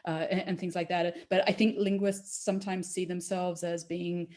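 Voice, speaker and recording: A woman moderates an online panel discussion, her voice somewhat low and warm in tone. She talks haltingly, restarting phrases and leaning on fillers as she passes questions to the panelists. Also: level tone. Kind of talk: panel discussion over video call.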